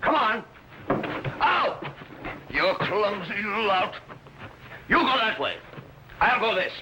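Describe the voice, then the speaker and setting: High-pitched, bullying medieval castle guard. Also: male voice, sing-song, slow pace, noisy background, heavily acted. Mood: menacing. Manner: barking, threatening commands. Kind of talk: 1950s television adventure acting. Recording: old television soundtrack with music.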